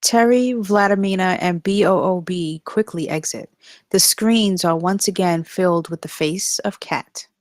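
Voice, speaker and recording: A woman's voice: on the low side, unhurried, no room sound, clean studio sound. A woman reading stage directions as narrator in a live scripted table read.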